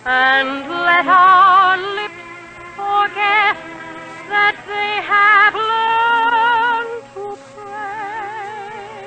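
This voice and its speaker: A woman singing a slow, sentimental 1940s song as featured vocalist on a radio broadcast. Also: extremely high pitch, fairly even intonation, music in the background, poor audio. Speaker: featured singer.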